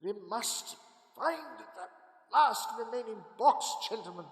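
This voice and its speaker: A man's voice: very high-pitched, expressive, slow pace, gravelly, a bit bright, heavily acted. A grave, commanding doctor who hunts vampires, speaks with hard-edged intensity. His voice rings in a long reverb, in classic radio horror style. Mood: urgent. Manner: commanding, intense, dramatic questioning. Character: Doctor Van Helsing, grave, urgent male vampire hunter. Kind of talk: old-time radio horror acting. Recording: echoey hall with long reverb.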